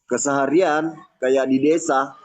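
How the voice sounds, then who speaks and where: A man's voice: mid-range voice, flat delivery, measured pace, a little mellow. A man chatting casually, explaining things and agreeing with short interjections. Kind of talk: casual chat. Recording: muffled lo-fi audio.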